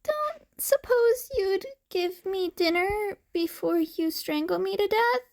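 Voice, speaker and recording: A woman's voice: extremely high pitch, animated, slow pace, pitched above the performer's own voice, heavily acted. A woman voicing a hungry cat-boy child, sweetly pleading and cheeky, in a fan fiction audio story.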